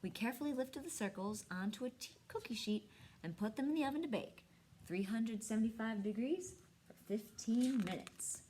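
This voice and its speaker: A woman reading a storybook aloud expressively, with dramatic pauses and hushed emphasis. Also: on the high side, expressive, slight background noise. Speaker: storybook reader.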